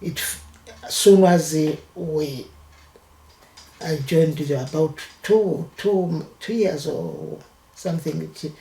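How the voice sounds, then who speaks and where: An older woman from Uganda with a Ugandan accent, telling her life story in an interview and speaking in halting phrases with many restarts. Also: low voice, gravelly, mellow, roomy sound. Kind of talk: oral history interview.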